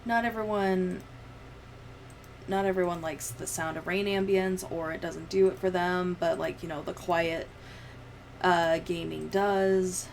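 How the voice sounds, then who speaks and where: Creaky, low-voiced young woman streamer. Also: steady tone, a little slow, slight background noise. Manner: rambling, profane. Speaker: livestreamer. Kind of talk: casual livestream chat while editing.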